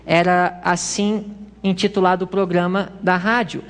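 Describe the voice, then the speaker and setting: A woman's voice: lowish voice, flat delivery, a little slow. A woman giving a church history lecture at a congress, explaining slides with small hesitations and self-corrections. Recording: long hall reverb.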